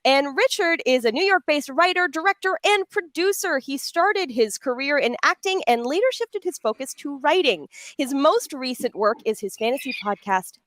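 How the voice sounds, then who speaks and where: Woman, higher-pitched voice, chatty panel host, effusive, emphatic, close dry sound. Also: expressive, moderate pace, hardly any pauses, fairly bright tone, no room sound. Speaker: panel host and audio drama voice actor. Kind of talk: hosting a pre-recorded panel, introducing guests.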